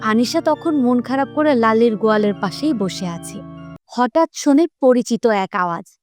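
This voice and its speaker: A woman's voice: a little high, expressive, moderate pace, a little mellow, faint music behind. A woman narrating a scripted story for children as a storyteller.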